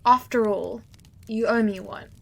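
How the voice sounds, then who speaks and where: A woman's voice: a little high, unhurried, vocal fry. An actress voicing Aerith, a proud and vengeful woman judge who speaks haughtily. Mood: haughty.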